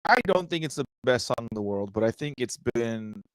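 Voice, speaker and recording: Man, from Southern California, podcast co-host, casual joking chat, lots of fillers, mid pitch, dry close mic. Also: expressive, brisk, no echo, low-quality recording. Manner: loose and joking, many fillers and restarts.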